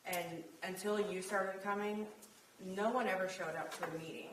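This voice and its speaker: A woman on a village board speaking firmly and slowly at a public meeting. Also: mid-range voice, steady tone, noisy background. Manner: firm, slow, controlled. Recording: echoey room, mic far off.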